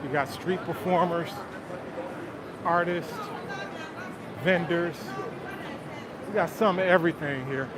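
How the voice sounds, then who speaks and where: A man's voice: fairly high pitch, slow, long pauses. A man with a Southern US accent, chatting casually with viewers on a phone livestream while he walks outdoors. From Detroit, Michigan. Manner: relaxed, chatty, answers viewer comments, often says "man". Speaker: livestream host. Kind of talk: casual live-stream chat while walking. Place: outdoors on busy city streets. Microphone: phone held while walking. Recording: street noise. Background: city traffic and crowd sounds.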